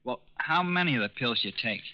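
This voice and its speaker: A man's voice: mid-range voice, expressive, fairly quick, natural voice. An actor playing a timid, gullible young man who speaks in meek, hesitant boyish slang in a 1940s radio crime drama. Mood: worried. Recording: muffled 1940s radio recording.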